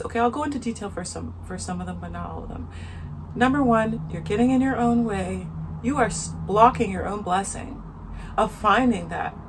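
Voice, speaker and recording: A woman with a soft voice giving her frank opinions in a casual vlog, talking outdoors in the sun and repeating her points for emphasis. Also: mid-range voice, noisy background. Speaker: vlogger.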